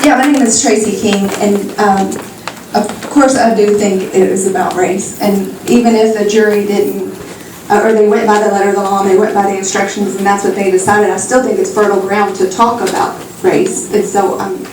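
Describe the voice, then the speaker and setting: A woman's voice: medium pitch, steady tone. Exasperated middle aged lady talking off the cuff at a town hall, really echoey, mic far away. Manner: halting, exasperated. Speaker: audience member speaking at a public meeting. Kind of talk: personal, unscripted public remarks. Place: meeting hall, public town-hall event. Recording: very echoey hall, distant mic, faint noise.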